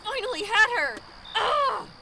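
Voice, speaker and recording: A woman playing a bitter, vengeful rebel commander in an audio drama, speaking with formal, deliberate menace. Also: very high-pitched, sing-song, vocal fry, background noise, heavily acted. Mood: furious.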